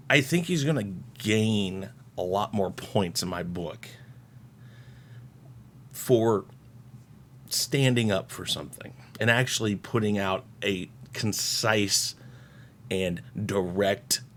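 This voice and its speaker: A man's voice: low voice, a bit bright, a little hiss or hum. A man giving casual opinion commentary as a video host, in a rambling, informal way that often qualifies itself.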